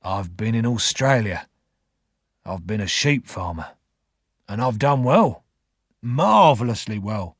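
Man acting a slow, husky old ex-convict. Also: lowish voice, animated, no room sound, clean studio sound, heavily acted. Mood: quietly proud. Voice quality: rough, husky. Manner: slow, quiet, deliberate. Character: rough sixty-year-old male ex-convict, weary, grateful, fond.

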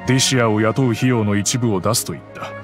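Man voicing a middle-aged merchant father, gentle, regretful, calm storyteller, lowish voice, soft music behind. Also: quick pace, lightly acted. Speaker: wealthy father recounting the past. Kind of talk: game cutscene voice acting.

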